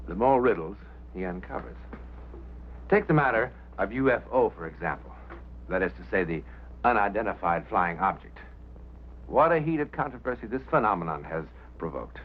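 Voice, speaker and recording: A man presenting a theatrical, scripted introduction to a drama in a deliberate, pondering manner, on a vintage soundtrack. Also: on the low side, a little hiss or hum. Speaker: host presenter. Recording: dull vintage film soundtrack with music.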